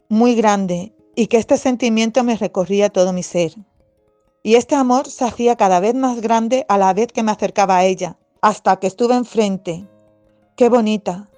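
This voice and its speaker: A woman's voice: mid-range voice, mellow. A middle-aged woman from Madrid with an accent from Spain, giving a personal devotional testimony. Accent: Spanish from Spain.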